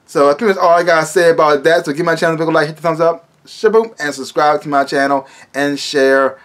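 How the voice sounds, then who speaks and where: A man's voice: fairly high pitch, quick pace, fairly bright tone. An American man in his thirties giving a casual, emphatic movie review to camera, often repeating his words, recorded in a small room at home.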